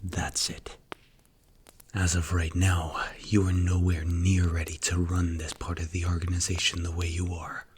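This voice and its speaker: A man playing a strict, commanding mafia boss in an intimate ASMR roleplay, speaking softly and breathily with stern, blunt, measured delivery. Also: low voice, monotone, background noise.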